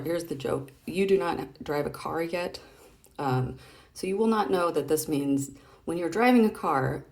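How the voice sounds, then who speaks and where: A woman's voice: low voice, creaky, a little mellow. A woman hosting a casual read-aloud of comic strips, chatting playfully and expressively as she explains things directly to a child.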